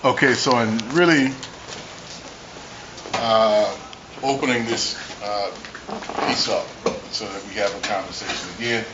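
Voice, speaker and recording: Halting male panel moderator, noisy room. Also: lowish voice. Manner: halting, many filler "uh"s. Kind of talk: casual discussion opening. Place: meeting room. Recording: dull noisy recording.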